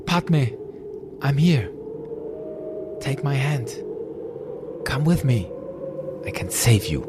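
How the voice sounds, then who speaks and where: A man's voice: mid-range voice, very animated, very slow, very noisy. An actor playing a young man who urgently pleads with the woman he loves, in film dialogue. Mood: pleading. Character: young male hero pleading with his lost love. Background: film score.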